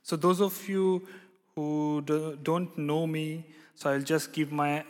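A young man from India preaching a sermon and praying, speaking haltingly with many fillers and restarts. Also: on the high side, level tone. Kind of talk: sermon with prayer. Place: church hall. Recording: long hall reverb.

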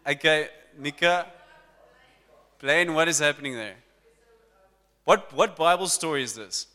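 Guy pastor preaching, lively, chatting with the crowd, smooth clear voice, kinda high. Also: measured pace. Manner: lively, talks to the congregation. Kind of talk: sermon. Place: church hall. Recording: long church hall reverb.

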